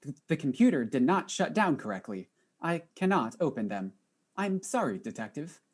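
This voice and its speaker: An actress playing a polite robot computer assistant in an audio drama, speaking in a formal, stilted, precise way. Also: female voice, deep voice, very animated, moderate pace, a little mellow, slightly rough, exaggerated voice. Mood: dutiful, apologetic.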